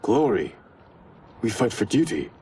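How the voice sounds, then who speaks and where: A younger, idealistic ninja in a game cutscene. He is earnest and insistent, his pitch moving widely. There is faint noise behind him. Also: sing-song, unhurried. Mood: earnest, protesting. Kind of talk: dramatic video game cutscene acting.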